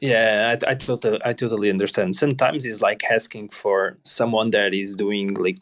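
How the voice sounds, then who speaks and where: A man hosting an online audio chat, encouraging the speakers and talking in halting phrases with many restarts. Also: fairly low pitch, fairly even intonation, fairly bright tone. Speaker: host. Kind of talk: casual chat.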